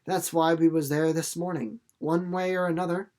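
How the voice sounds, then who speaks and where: A man's voice: a little high, flat delivery, normal speed, a little mellow, lightly acted. A folksy storybook country mother, as read aloud by a man. Her phrasing is rustic and homespun, and her temper is plain, motherly and resigned, with some bustle in it. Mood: resigned, musing.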